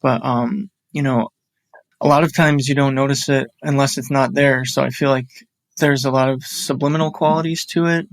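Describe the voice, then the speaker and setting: A man on a podcast team, speaking in a measured, reflective way in a casual chat. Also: medium pitch, steady tone, no echo.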